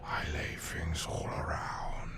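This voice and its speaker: A man playing an ancient, ravenous alien in a sci-fi audio drama, speaking in a whispery, gravelly growl with slow, terse menace. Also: close to an everyday voice. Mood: cold, sinister. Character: ancient ravenous alien feeding on human captives.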